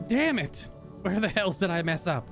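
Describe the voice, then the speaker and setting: A man's voice: high voice, sing-song, moderate pace, fairly bright tone. A man streaming a game playthrough, muttering to himself and reacting live to what happens. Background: game music.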